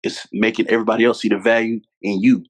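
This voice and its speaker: A man's voice: lowish voice, little pitch movement, fast talker. A man, a podcast guest talking candidly and earnestly, repeating his points for emphasis. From Louisiana, US. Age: 20s. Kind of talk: candid conversational storytelling.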